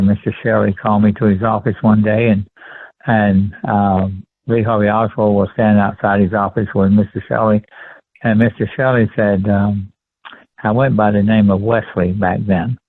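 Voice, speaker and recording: A man in his seventies with a Texan accent, recalling his life as an interview guest in a halting, deliberate, polite way. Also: on the low side, level tone, no echo. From rural Texas, USA. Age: 70s. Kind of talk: conversational personal recollection. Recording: tinny phone-line sound.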